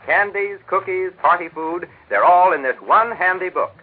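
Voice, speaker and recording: A man's voice: high voice, normal speed. A man working as a 1940s radio announcer, reading advertising copy with polished, upbeat salesmanship. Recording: tinny, muffled 1940s radio recording.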